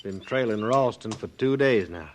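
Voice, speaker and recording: A man's voice: fairly low pitch, animated, normal speed, a little hiss or hum, close to an everyday voice. Man acting a hard, self-assured frontier sheriff. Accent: American. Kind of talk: 1950s radio western acting.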